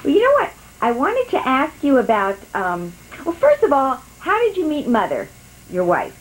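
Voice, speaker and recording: A woman's voice: high-pitched, wildly swooping pitch, normal speed, husky, poor audio. A woman interviewing her father at home for a family oral history, encouraging him with questions. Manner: encouraging, asks warm follow-up questions.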